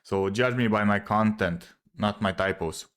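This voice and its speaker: A man's voice: fairly low pitch, unhurried, fairly bright tone, clean tone. A man whose first language is not English, casually arguing a point in a video, hesitant with many fillers and restarts, often checking in with "right?". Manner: explains step by step, often asks "right?". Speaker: video creator responding to a commenter. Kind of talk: casual argumentative commentary.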